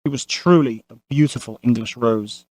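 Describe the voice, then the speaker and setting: A man's voice: medium pitch, moderate pace, darkish tone, dry recording. A man narrating a family saga as a scripted audio drama storyteller.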